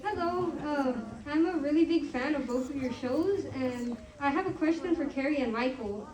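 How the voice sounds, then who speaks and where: A woman's voice: sits high, normal speed, a little mellow. A woman in the audience asking a question, shy and hesitant. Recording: roomy, distant, crowd behind.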